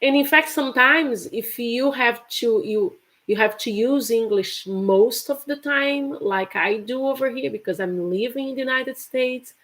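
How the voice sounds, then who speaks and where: A woman's voice: on the high side, unhurried, hardly any pauses, fairly bright tone. Chatty woman teaching English. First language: Portuguese. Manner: chatty, repeats example phrases with laughs. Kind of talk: live online English lesson.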